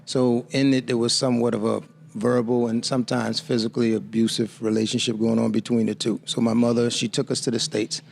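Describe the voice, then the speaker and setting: A man in his fifties from the Bahamas speaks as an interview guest, with a gravelly, fry-laden voice. He tells his story in a measured, reflective way at a mid-range pitch. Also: little pitch movement, a little mellow. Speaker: guest author.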